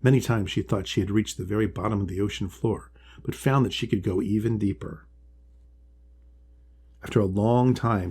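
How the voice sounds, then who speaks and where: Low, gravelly male storyteller. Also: darkish tone. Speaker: author reading aloud. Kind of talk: scripted children's storybook narration.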